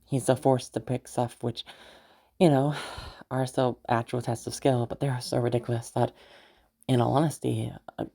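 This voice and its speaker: Woman acting a low, husky young noblewoman. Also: heavily acted. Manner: hesitant, halting, with soft chuckles and sighs. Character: young noblewoman, affectionate, teasing, fond of her knight. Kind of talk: roleplay audio drama, intimate conversational acting.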